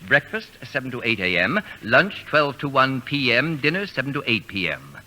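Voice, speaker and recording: An actor playing a pompous, fastidious man who orders students around in formal, precise diction, in 1940s radio comedy style. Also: medium pitch, sing-song, bright, forceful tone, exaggerated voice. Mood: stern, formal. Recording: noisy vintage radio broadcast with clicks.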